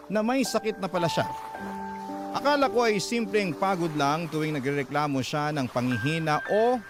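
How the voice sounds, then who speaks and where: A man with a Filipino accent narrating a dramatic first-person story as a radio drama narrator. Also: a little high. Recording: loud music bed under voice. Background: loud music.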